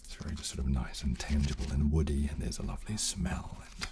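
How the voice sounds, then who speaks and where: A man in his thirties hosting a soft ASMR chat close on a desk microphone, hushed, halting and polite. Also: rumbling low, steady tone, background noise. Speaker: host of an ASMR channel. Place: small furnished studio room, indoors. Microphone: close desk condenser microphone.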